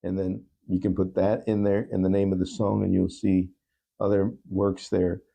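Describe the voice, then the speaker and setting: Man, interview guest, earnest conversational talk, creaky, dark mellow timbre. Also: sits low, monotone, moderate pace. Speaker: guest, musician and songwriter.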